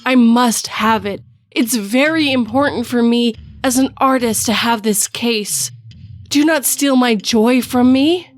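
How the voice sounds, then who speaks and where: Woman acting a young art student, high voice, pleading n melodramatic, storytime with faint music. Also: measured pace, lightly acted. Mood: insistent. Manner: pleading, overwrought.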